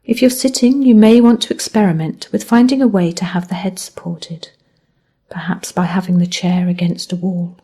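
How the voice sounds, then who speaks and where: Mellow, slightly husky woman guiding meditation. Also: fairly low pitch, fairly even intonation. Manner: gentle, measured, with soft phrase endings. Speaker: mindfulness meditation teacher. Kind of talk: guided body scan meditation.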